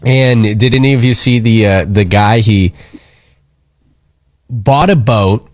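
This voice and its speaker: A man's voice: fairly low pitch, slow pace, no room sound, clean studio sound. An American man hosting a live radio show, talking casually and earnestly in a rambling, concerned way.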